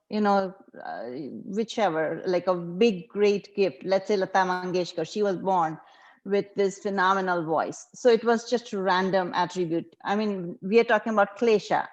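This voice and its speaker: A woman takes part in a lesson as a student. She speaks informally, reasoning through examples as she puts her question to the teacher. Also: medium pitch.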